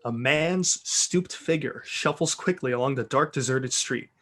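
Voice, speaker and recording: A man's voice: medium pitch, unhurried, fairly bright tone. A man reading script narration and stage directions aloud in an amused, theatrical way with joking asides. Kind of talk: dramatic reading of a film script.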